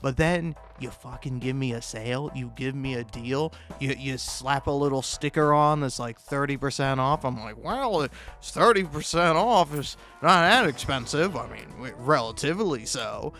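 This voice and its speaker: A man doing a rambling, casual comedic monologue, halting and profane, often stammering and cutting himself off. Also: medium pitch, bright, forceful tone, soft background music. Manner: profane, halting, self-interrupting with stammered repeats; chuckles often while talking. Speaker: host of a comedic video.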